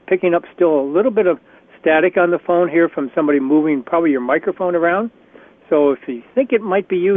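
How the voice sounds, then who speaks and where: A man with a slightly raspy voice leading a career workshop on a conference call, chatty and encouraging with frequent restarts. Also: a little high, slight background noise. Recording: tinny phone line.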